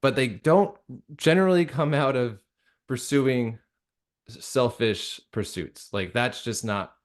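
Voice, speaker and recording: A man speaking casually and reflectively as an interview guest, earnest and halting, often interrupting himself mid-thought. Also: mid-range voice. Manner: earnest, halting, conversational with frequent self-interruptions. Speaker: guest, audio drama creator and director.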